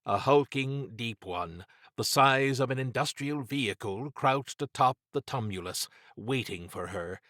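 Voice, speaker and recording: A man narrating horror fiction as an audiobook, with measured, dramatic storytelling. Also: mid-range voice, animated, fairly bright tone, no echo, clean studio sound.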